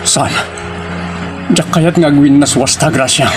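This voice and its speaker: A man's voice: a little high, slow. A man acting a hurt, insistent young lover in an audio drama, intense and questioning, with a Filipino Ilocano accent. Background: prominent music.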